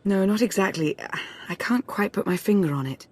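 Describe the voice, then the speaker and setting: A woman's voice: medium pitch, expressive, moderate pace, a little mellow, breathy edge. A woman playing a composed, guarded adviser in a sci-fi game, speaking precisely and formally with serious, analytical delivery. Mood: pensive.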